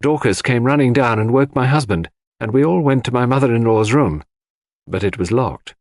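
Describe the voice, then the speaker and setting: A man's voice: medium pitch, normal speed, a little mellow, no room sound, studio quality, natural voice. A man voicing a composed, guarded, faintly insolent woman witness in an audiobook.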